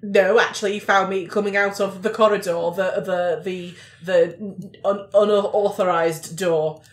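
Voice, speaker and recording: A woman's voice: mid-range voice, fairly even intonation, a little slow, lightly acted. Woman playing a prim, fussy newspaperwoman, roomy sound. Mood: indignant. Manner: prim, curt, pedantic. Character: prim, fussy, indignant newspaperwoman in floral dressing gown. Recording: roomy indoor echo.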